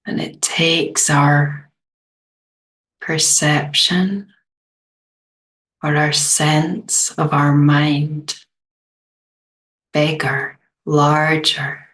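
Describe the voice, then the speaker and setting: Meditation guide, female. Extremely slow delivery, gentle, low register, little melodic movement. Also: level tone, rough recording. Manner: gentle, unhurried, long pauses.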